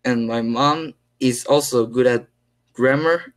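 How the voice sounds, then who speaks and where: A teenage boy with a Korean accent, speaking careful, halting English full of fillers. He is on a video call from a small room at home, picked up by his laptop mic. His voice sits at a middle pitch for a young man. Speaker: English learner. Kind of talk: casual conversation practice.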